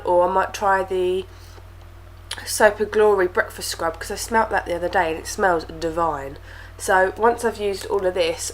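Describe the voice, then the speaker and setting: A woman's voice: mid-range voice, fairly bright tone, a little hiss or hum, rough recording. A young woman reviewing beauty products to camera, talking casually and sounding stuffy from a cold. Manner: chatty, with lots of fillers and repeated words. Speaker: beauty vlogger.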